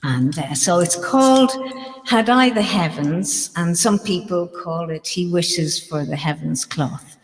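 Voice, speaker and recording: A woman's voice: on the low side, lively intonation, measured pace, in a large hall. A woman with an Irish accent, a guest musician chatting casually between songs, giggly and full of ums. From Ireland. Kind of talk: casual chat between songs on a live stream.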